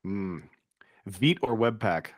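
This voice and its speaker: A middle-aged man hosts a casual interview with upbeat, quick reactions. His voice sits fairly low. It is picked up dry and close on a boom mic in a home studio. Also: animated, dry recording. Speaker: interview host. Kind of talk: casual video-call interview. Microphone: boom studio microphone with headphones.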